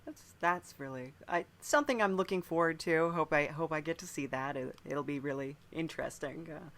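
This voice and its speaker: A man's voice: on the high side, fairly quick, a bit bright. A man hosting a casual interview chat, speaking in a quirky, hesitant way and often trailing off. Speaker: podcast host, interviewer.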